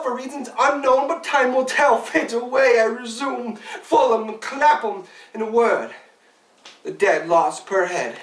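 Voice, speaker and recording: Frantic absurdist stage servant , played by a woman: high voice, disjointed repetitive declamation of learned nonsense, distant in an echoey room. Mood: rambling, agitated. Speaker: actor. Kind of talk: theatrical monologue performance.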